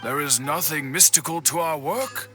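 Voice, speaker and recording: Bright-edged, dignified master swordsmith. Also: male voice, a little high, normal speed. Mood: reflective, solemn. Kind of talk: scripted video game dialogue. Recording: faint game music behind the voice. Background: faint background music.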